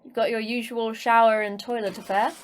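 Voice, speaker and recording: An actress playing a terse, sardonic manager of a secret polar facility in an audio drama, with a dry, curt delivery. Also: female voice, fairly high pitch, little pitch movement, brisk, natural voice. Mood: brusque, dry. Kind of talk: audio fiction acting.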